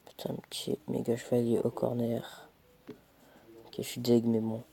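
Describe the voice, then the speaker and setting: A man's voice: medium pitch, monotone, unhurried, gravelly, warm tone, husky. A young man with a cold, casually commentating his own mobile game match, chatty and self-mocking, muttering as he plays. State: stuffy with a cold.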